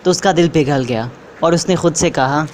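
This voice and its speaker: A man's voice: fairly high pitch, normal speed. A man narrating a scripted moral story for children. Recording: faint background noise.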